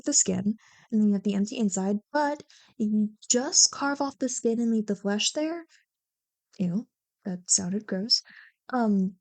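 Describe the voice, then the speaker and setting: A woman plays a bubbly young childhood friend with a fairly high voice, recorded very dry. She banters and teases playfully, chatting casually as if to one listener in an ASMR roleplay. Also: dry recording.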